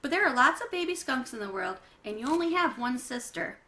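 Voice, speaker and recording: A practical, caring storybook mother, read by a woman. The voice is bright and lively in pitch, patiently reasoning with her children. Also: a little fast, exaggerated voice. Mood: patient, soothing.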